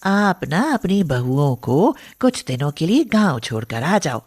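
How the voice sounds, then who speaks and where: A woman playing a snobbish, gossiping middle-aged neighbour in an animated moral story, catty and mocking. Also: deep voice, measured pace, slightly rough, dry recording, studio quality, exaggerated voice.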